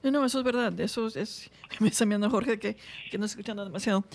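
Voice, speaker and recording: A woman's voice: medium pitch, quick pace, a little hiss or hum. A woman from Mexico co-hosting a radio chat, chatty and self-deprecating, laughing at herself. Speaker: radio co-host. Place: radio studio.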